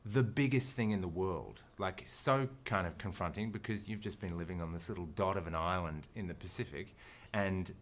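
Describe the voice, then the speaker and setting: Australian male actor, interview guest, deep voice, casual and thoughtful, restarts a lot. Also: moderate pace, hardly any pauses, slight background noise. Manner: thoughtful, rambling, with frequent restarts.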